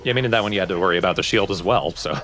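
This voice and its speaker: Low-pitched male let's play co-commentator, casual gameplay chat. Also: racing, a bit bright.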